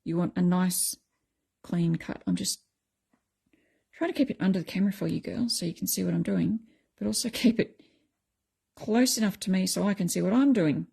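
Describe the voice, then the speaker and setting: A middle-aged woman with a croaky voice, chatting casually as she explains a craft technique step by step. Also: on the low side, moderate pace, long pauses, mellow. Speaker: craft tutorial host.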